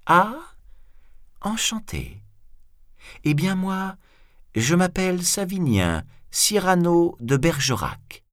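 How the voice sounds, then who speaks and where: A man's voice: medium pitch, huge pitch swings, slow, long pauses, no room sound, clean studio sound, voice pushed up, exaggerated voice. A man voicing a proud, bold, mocking swordsman in a grandiloquent storybook style. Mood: cool mockery. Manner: grandiloquent, taunting.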